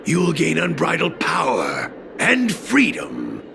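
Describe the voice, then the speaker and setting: An actor playing a proud, fanatical cult leader and martial artist in a video game, speaking in a pompous, deliberate, menacing way. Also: male voice, on the high side, wildly swooping pitch, vocal fry, background noise, exaggerated voice. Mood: fervent. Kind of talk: dramatic video game voice acting.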